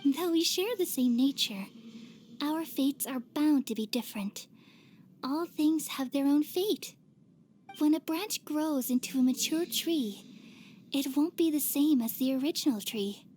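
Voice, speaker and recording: A woman voicing a gentle, wise and sorrowful ancient goddess in an anime fantasy game, speaking in formal, measured phrases. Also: sits high, animated, slight background noise. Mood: gentle, encouraging.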